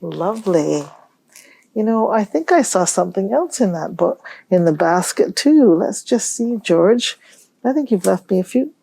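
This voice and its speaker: A woman hosting a storytime for young children, reading aloud in a gentle, musing way and pausing to pose questions. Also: medium pitch, expressive, creaky, breathy edge, no room sound. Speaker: children's library storytime host.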